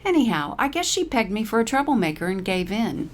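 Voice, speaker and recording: A sharp-tongued old woman put on by a female storyteller in a comic short story. She is pushy and wisecracking, quick to demand and to needle. There is clear noise behind the voice. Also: a little high, big pitch swings, pitched above the performer's own voice. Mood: smug, cheeky.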